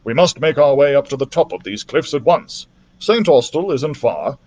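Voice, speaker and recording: An earnest, practical gentleman doctor from a storybook, read by a man. He speaks deliberately at a middle pitch with lively rises and falls, sounding a touch worried. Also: lo-fi sound, close to an everyday voice. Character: earnest adult male doctor, worried but practical adventurer. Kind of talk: children's storybook reading.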